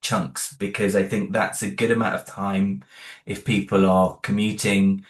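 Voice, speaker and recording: A low-voiced man talks through his creative choices in a relaxed interview, stopping and restarting his sentences as he thinks. The room around him rings with noticeable echo. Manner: thoughtful, halting, many restarts and "you know". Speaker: creator of an audio drama, interview guest. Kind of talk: casual interview talk.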